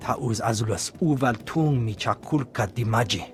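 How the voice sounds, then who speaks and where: A male alien in a sci-fi game, voiced by an actor. He talks in an invented tongue at medium pitch, with music clearly audible behind. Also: moderate pace, lightly acted. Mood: theatrical. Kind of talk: video game cutscene acting. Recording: prominent music playing behind.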